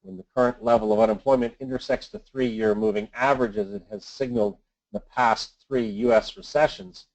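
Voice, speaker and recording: A man reads scripted market commentary as an investment adviser on a webinar, in a measured, formal, businesslike manner. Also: lowish voice, fairly even intonation, a little mellow. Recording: dull lo-fi conference call audio.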